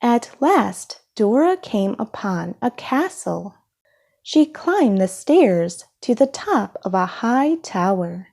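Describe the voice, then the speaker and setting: A woman narrates a children's storybook at a slow, measured pace. Her tone is soft-edged and mellow, and her pitch moves up and down expressively with each phrase. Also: very animated, creaky. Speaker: storybook reader.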